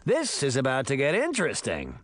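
A man voicing a cocky, mischievous, excitable boy with a brash, gleeful manner. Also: mid-range voice, brisk. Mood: gleeful, excited.

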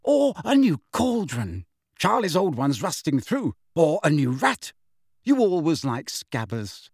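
Man putting on a high, gushing, doting mother. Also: wildly swooping pitch, unhurried, slightly rough, no room sound, clean studio sound, exaggerated voice. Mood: eager. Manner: breathless, gushing. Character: fussy, doting middle-aged mother, gushing and excitable.

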